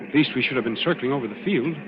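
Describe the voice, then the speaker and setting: A man's voice: mid-range voice, racing, close to an everyday voice. A man acting a breezy naval officer and protective fiancé in a 1940s radio thriller. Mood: uneasy, evasive. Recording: muffled, very noisy 1940s radio recording.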